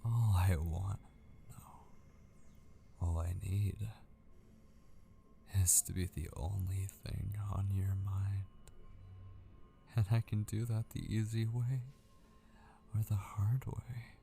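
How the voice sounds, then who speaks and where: A deep, crackly-voiced male captor played by a man in an ASMR roleplay, obsessive and menacing. He talks slowly and darkly, taunting his captive and often sinking to a whisper. A faint noise sits behind the voice. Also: fairly even intonation, gravelly, mellow, full character voice. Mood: chilling, controlled. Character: obsessive mortal male yandere kidnapper, menacing and possessive. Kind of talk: intimate ASMR roleplay acting.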